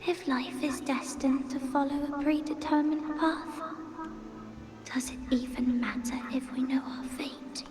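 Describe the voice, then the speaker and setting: A woman's voice: high-pitched, steady tone, a little slow. A woman playing a troubled, questioning young woman in a video game, with long reverb and music behind her. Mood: doubtful. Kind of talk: scripted video game story acting.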